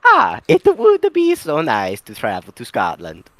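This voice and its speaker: A woman's voice: low voice, huge pitch swings, unhurried, no echo, heavily acted. A woman voicing a dreamy, flustered young woman who works in a cafe, drawing out her words.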